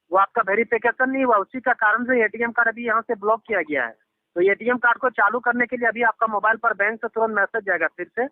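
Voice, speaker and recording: A man's voice: high-pitched, dry recording. A man on a phone call in the role of bank support, talking in an insistent, repetitive, reassuring way and addressing the listener formally. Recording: lo-fi telephone line recording. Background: phone call audio.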